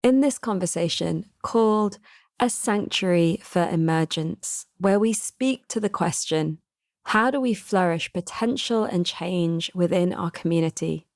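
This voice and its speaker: A woman hosting a podcast, reading a scripted welcome in a calm, measured, earnest way. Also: fairly low pitch, dry recording, clean studio sound. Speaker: podcast host.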